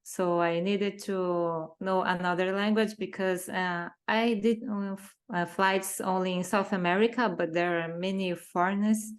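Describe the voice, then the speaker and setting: A woman's voice: medium pitch, fairly even intonation, unhurried, hardly any pauses. A woman with a Brazilian Portuguese accent, a native Portuguese speaker answering interview questions in careful English, searching for words as she goes. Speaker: interview guest.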